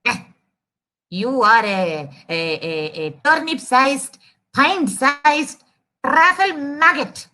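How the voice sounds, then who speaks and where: A woman voicing a big male elephant, grumbling and dismissive with halting speech, in a children's storybook read-aloud. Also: high voice, expressive, bright, forceful tone, heavily acted. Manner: slow-witted grunts, halting, dismissive.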